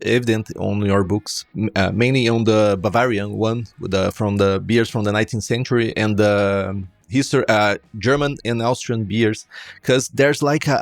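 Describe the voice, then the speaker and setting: A man's voice: mid-range voice, dry recording. A man from Brazil with a Brazilian Portuguese accent, Portuguese native, hosting a podcast and asking interview questions with some hesitation. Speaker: podcast host.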